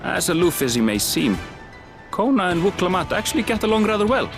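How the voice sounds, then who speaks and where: An actor playing a composed male guide who explains rivals and history in a measured, slightly dry way in a video game cutscene. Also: high-pitched, very animated, gravelly, full character voice. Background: game score music.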